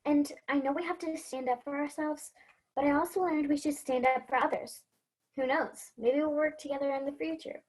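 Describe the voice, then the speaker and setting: A woman's voice: high-pitched, steady tone, moderate pace, darkish tone, lightly acted. A woman playing an earnest, hopeful little girl hero in a fairy-tale stage play. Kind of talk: stage play acting and storybook narration. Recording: dull, muffled lo-fi sound.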